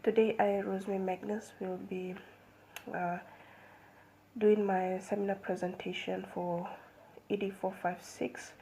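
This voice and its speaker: A woman's voice: medium pitch, level tone, dark tone, a little hiss or hum, rough recording. Woman giving a seminar talk. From Fiji. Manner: measured, hesitant with fillers and restarts. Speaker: student presenter. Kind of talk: seminar presentation.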